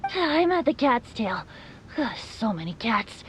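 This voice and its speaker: A woman's voice: a little high, sing-song, slow pace, slight background noise. A woman voicing a breezy, boyish, easygoing bard in a video game, light-voiced, hesitant and playful, congested with allergies. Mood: sniffly, teasing. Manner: hesitant, airy, playful, throat-clearing. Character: boyish, breezy, easygoing wandering bard, secretly a wind spirit. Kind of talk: video game character acting.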